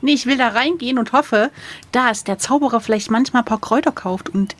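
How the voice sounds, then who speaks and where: A woman's voice: a little high, brisk. A woman playing an adventure gamebook, chatting casually and thinking aloud in a playful way. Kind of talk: casual chat during a game.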